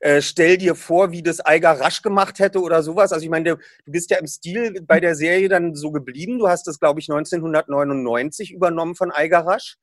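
A man's voice: a little high, a little fast, hardly any pauses. A man hosting a podcast who reads a book passage aloud and then interviews a guest in a casual, eager way.

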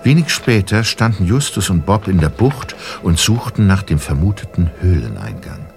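A man's voice: low-pitched, sing-song, normal speed, creaky, a little mellow, soft background music. A man narrating a scripted audio drama.

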